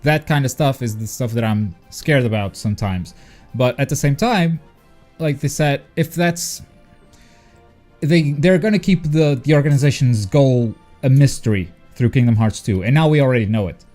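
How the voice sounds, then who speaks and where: A man's voice: medium pitch, normal speed, darkish tone. A man hosting a casual conversational chat about video game stories. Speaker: host. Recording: faint music behind the voice.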